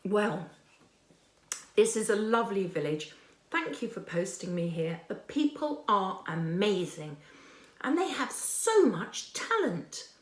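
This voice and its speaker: A woman's voice: mid-range voice, big pitch swings, slow pace. A woman playing an earnest, deferential undercover agent, reporting to a superior in a hesitant, confiding video-diary monologue. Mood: warmly sincere. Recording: roomy, echoing amateur online recording.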